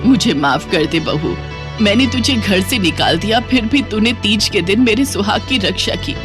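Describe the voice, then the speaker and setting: An older mother-in-law full of remorse and gratitude , performed by a woman, speaks emotionally. The delivery belongs to an animated family tale, set over noticeable music. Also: medium pitch, moderate pace, close to an everyday voice. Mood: remorseful. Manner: apologetic, emotional. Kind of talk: animated moral story acting. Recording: music playing behind the voice.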